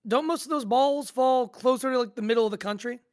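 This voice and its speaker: A woman's voice: a little high, fast talker, a little mellow, close to an everyday voice. A woman playing a friendly, easily amused drive-thru worker, chatting casually in an audio drama table read. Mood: starstruck.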